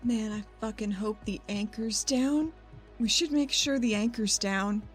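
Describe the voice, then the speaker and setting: A woman's voice: a little high, unhurried. A woman chatting informally and wryly as a player in a tabletop roleplaying game. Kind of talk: casual game chat with bits of roleplay. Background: background music.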